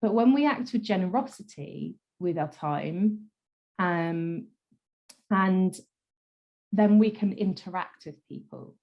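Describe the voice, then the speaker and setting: A woman with a slightly low, croaky voice speaks as a guest coach in an interview. She is thoughtful and unhurried, uses fillers, and lets her sentence endings fall off softly. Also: muffled recording. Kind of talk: conversational interview.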